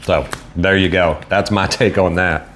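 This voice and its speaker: A man with a Southern American accent casually reviewing food on camera in a relaxed, rambling way. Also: sits low, a little slow, a little hiss or hum. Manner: laid-back, rambling, self-deprecating. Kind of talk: casual solo video review.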